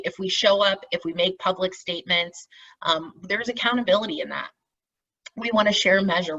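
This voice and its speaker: A woman with a forceful, bright edge and some rasp leads an online meeting in an informal, encouraging way. She explains step by step and checks in with her listeners. The audio is muffled and sounds away from the microphone. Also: on the low side. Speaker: meeting facilitator, team lead. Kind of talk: informal presentation on a group call. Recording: dull, distant video-call audio.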